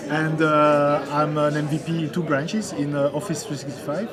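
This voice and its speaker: Belgian man with a French accent, native French, searching for words, loud conference crowd. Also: a little high, fairly even intonation, moderate pace. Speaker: interview guest. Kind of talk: interview answers. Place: busy conference hall.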